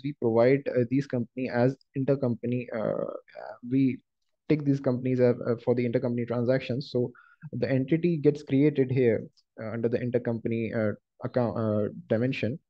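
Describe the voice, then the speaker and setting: A man working as a software trainer, explaining a screen-share tutorial step by step in a halting, explanatory way. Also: medium pitch. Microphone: screen-recording voice-over. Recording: dull lo-fi voice recording.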